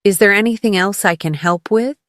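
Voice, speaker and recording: A woman leading a scripted English lesson as a teacher, encouraging the learner and clearly enunciating model phrases for repetition. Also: medium pitch, fairly quick, fairly bright tone, no echo, clean studio sound. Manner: encouraging coaching.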